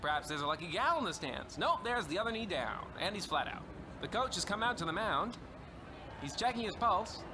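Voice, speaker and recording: A man playing an excitable baseball play-by-play announcer in an old-time radio comedy. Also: high voice, fairly quick, noisy background. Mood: wry commentary. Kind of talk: old-time radio sports commentary parody.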